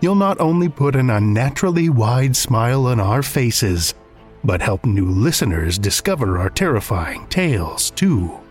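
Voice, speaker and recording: A man plays the dramatic, playfully spooky host of a horror podcast. His medium-pitched voice swoops up and down with lots of movement, and music runs underneath. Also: very animated, measured pace, darkish tone. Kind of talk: scripted theatrical podcast hosting.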